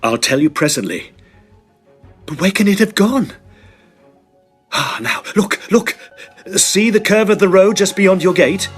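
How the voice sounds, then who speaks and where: An actor playing a shaken, earnest young Victorian Oxford student in an audio drama, speaking formally and with dramatic agitation. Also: male voice, high-pitched, unhurried, long pauses, breathy edge, soft background music. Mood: frightened, breathless urgency.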